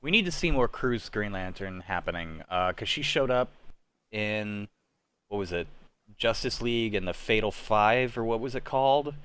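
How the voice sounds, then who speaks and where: Low-voiced young man in casual chat. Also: animated, normal speed, fairly bright tone, clean tone. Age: young adult. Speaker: panelist on a livestream. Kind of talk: casual chat about cartoons and TV.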